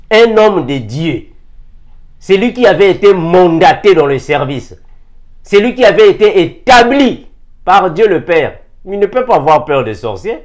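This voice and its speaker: Man preaching in a higher-pitched voice. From Africa. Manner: emphatic, rhetorical questions, repeats key phrases. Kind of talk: impassioned sermon addressed to fellow believers.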